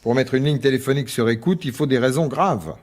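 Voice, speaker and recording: An actor playing a placating, skeptical husband in a French radio drama, talking in a colloquial, reasoning way with some exasperation. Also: male voice, medium pitch, quick pace, darkish tone, lightly acted. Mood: placating, evasive.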